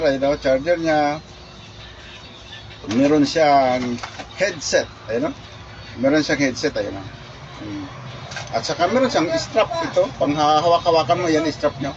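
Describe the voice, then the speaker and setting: A man's voice: a little high, slight background noise. Chatty man, muffled lo-fi sound. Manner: chatty, repeats himself, many restarts and fillers. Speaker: product reviewer vlogger. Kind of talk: casual product review. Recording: muffled lo-fi recording.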